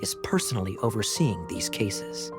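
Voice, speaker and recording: A man's voice: fairly low pitch, very animated, moderate pace. A man playing a composed, courteous knight with formal, polite phrasing in an anime video game. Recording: faint music behind the voice.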